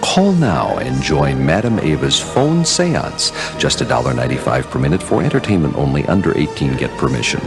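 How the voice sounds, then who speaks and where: A man's voice: very deep voice, very animated, moderate pace, hardly any pauses, heavily acted. A man voicing a solemn, beckoning announcer in a spooky phone seance commercial. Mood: brisk disclaimer. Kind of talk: spooky television ad voice-over. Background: music behind the voice.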